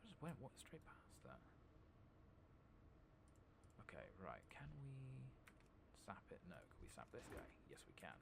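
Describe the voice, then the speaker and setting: A man doing soft-spoken ASMR game commentary, murmuring casually to himself, swearing mildly and slipping in and out of whispers. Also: medium pitch, wildly swooping pitch, a little slow, pauses often. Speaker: gaming streamer. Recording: clearly noisy background with clicking.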